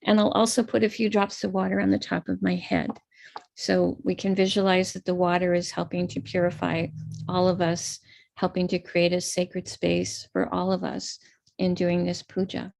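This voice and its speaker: American woman with a gravelly, dry voice. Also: fairly low pitch, level tone, normal speed, a little mellow, no room sound. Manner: patient, explanatory, walks through a chart step by step. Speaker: astrologer leading a ritual. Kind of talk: live-streamed ritual instruction.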